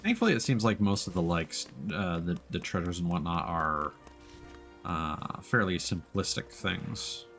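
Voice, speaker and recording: A man's voice: low voice, animated, unhurried, a bit bright. A man doing casual live video game commentary, rambling and thinking aloud as he plays. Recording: game music behind the voice. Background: video game music.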